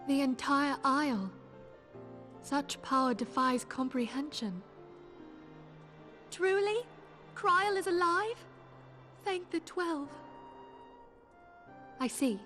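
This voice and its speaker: A somewhat high female voice a solemn, poised leader in a fantasy game. Her phrasing is measured and dignified, with music behind it. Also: a bit bright. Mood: concerned, relieved. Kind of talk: formal fantasy game voice acting.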